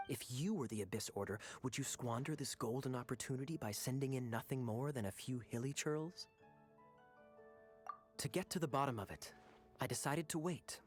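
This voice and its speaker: Male actor as young knight captain, smooth, sly, teasing, theatrical game dialogue, music behind. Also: medium pitch, close to an everyday voice.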